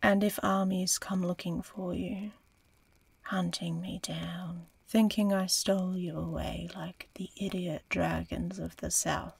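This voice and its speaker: A woman voicing an aloof, curious, teasing female dragon in a fantasy ASMR roleplay, breathy and often whispering, slow and musing. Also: fairly low pitch, flat delivery, exaggerated voice. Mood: haughty, probing. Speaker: audio roleplay performer.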